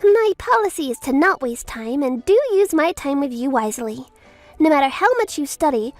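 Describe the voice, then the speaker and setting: A woman playing a bubbly, money-obsessed young schoolteacher with a gushing manner in a manga dub. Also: high voice. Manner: gushing, peppers talk with French phrases.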